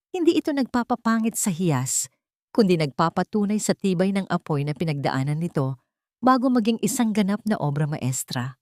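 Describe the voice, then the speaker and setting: Female narrator for romantic audio stories: lowish voice, solemn sentimental reading, very little room sound. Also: a little mellow, no room sound, studio quality. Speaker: storyteller. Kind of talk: scripted dramatic narration of a romance story.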